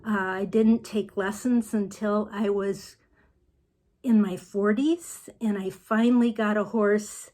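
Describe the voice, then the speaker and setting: Slow-speaking older woman author. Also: mid-range voice, steady tone, darkish tone. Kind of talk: casual video message.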